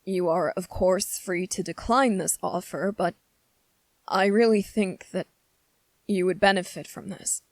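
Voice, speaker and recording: Slow, low-voiced anxious young prince, played by a woman. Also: no echo. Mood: earnest, diplomatic. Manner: careful, hesitant, formal, pleading. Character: anxious, earnest, gentle young male fantasy prince. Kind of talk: ASMR character roleplay.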